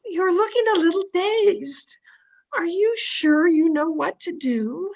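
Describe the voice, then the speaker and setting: A woman as chirpy housewife pal, very high girlish voice, coaxing, wry, old-time radio comedy. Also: animated, takes it slowly, a little mellow, full character voice. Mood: helpful, concerned. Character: chirpy, supportive housewife best friend. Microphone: home microphone over video call.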